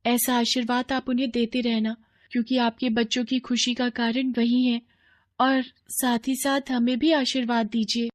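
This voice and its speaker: A woman's voice: fairly high pitch, little pitch movement, normal speed, voice pushed up, close to an everyday voice. A woman voicing a poor, hard-working orphan girl in a cartoon story, earnest and pleading. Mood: grateful. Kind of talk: Hindi moral cartoon story acting.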